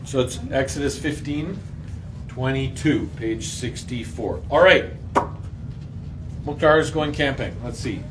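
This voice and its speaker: A man's voice: medium pitch, measured pace, pauses often, a bit bright. A man leading a casual, interactive Bible lesson in a playful, slangy way, asking the group lots of questions. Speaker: group Bible reading leader. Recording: roomy echo, distant mic, faint noise.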